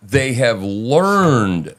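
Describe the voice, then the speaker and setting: A man's voice: mid-range voice, very animated, extremely slow, a bit bright. A man hosting a live audio talk show, talking casually and emphatically, swearing freely and often asking "right?". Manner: profane, emphatic, keeps checking in with "right?".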